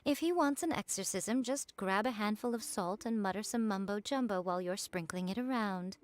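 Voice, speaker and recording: A woman's voice: medium pitch, normal speed, lightly acted. Woman playing a sly, teasing shrine priestess. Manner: wry, languid, playfully condescending. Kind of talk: video game voice acting. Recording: background music under the voice.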